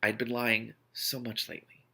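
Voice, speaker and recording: A man's voice: mid-range voice, flat delivery, normal speed, creaky, sharp tone. A man reading a novel aloud expressively, often stumbling and breaking off to react. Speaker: book reader, reaction host.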